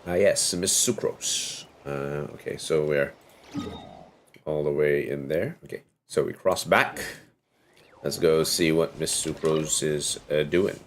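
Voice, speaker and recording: A man giving casual livestream commentary on a game, musing in a low-key way and repeating bits of what he hears. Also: steady tone, slow pace, a little hiss or hum. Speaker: game streamer.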